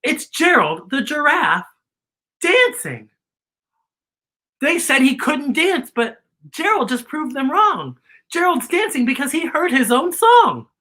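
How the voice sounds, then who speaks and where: A woman hosting children's story time, reading a picture book expressively and deliberately explaining it to young listeners. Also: high voice, big pitch swings, a bit bright.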